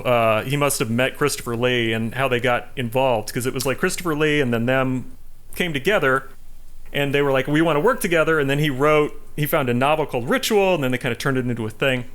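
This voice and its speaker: A man's voice: medium pitch, fairly quick, fairly bright tone, clear, resonant tone. A man chatting casually about films as a panelist on a podcast. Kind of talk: casual film discussion.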